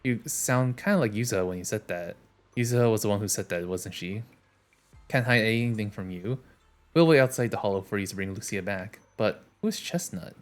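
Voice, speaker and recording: A man's voice: lowish voice, animated, a little fast. A man streaming a game, reading its dialogue aloud in a casual, plain way, with small restarts and asides. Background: game music.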